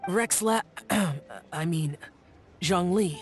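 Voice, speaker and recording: Slow, reserved young immortal. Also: male voice, high-pitched, soft background music. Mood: reserved, awkward. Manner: terse, deferential, awkward. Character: reserved, stern young male immortal guardian. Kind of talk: English dubbed video game acting.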